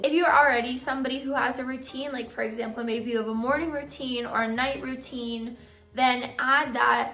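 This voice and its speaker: A young woman vlogging casually to her viewers, giving friendly reading tips, her voice a bit hoarse from losing it. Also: a little high, sharp tone, echoey room. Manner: friendly advice-giving, filler "like". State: partly lost voice, hoarse. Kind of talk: casual vlog talk to camera.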